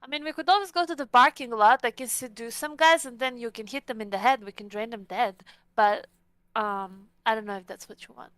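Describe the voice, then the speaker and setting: A woman's voice: a little high, a little fast, a bit bright, clean tone, no echo. A woman playing a tabletop roleplaying game, bantering playfully and casually in and out of character.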